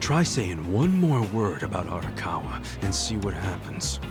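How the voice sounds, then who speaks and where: An actor voicing a hot-headed but loyal young yakuza in a video game dub, with brash, slangy talk. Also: male voice, sits low, sing-song, moderate pace, music in the background. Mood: defensive. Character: hot-headed, loyal, big-hearted low-ranking young yakuza man.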